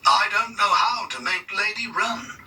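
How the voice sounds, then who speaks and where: Man playing a troubled, wistful engineman, raised pitch. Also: steady tone, measured pace, pushed and bright, far from the mic, heavily acted. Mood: sad.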